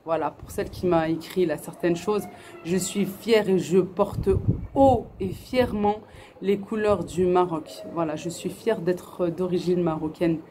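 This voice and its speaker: A woman's voice: lowish voice, unhurried, dark tone, slight background noise. A middle-aged woman from France, chatting earnestly to camera and answering viewers' questions, with many fillers and restarts. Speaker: family vlogger. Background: faint outdoor ambience.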